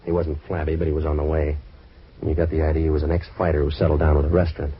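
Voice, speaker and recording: An actor playing a sardonic, wisecracking American private eye in a deadpan, clipped 1940s radio detective style, on an old recording. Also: male voice, a bass voice, racing, breathy edge, muffled recording. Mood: wry, jaded. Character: wisecracking hard-boiled male waterfront private eye.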